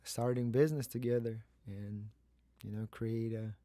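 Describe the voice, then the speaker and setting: A man's voice: fairly low pitch, fairly even intonation, normal speed, mellow, no room sound. A man, casually telling his own story as a podcast co-host. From Kazakhstan. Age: 30s.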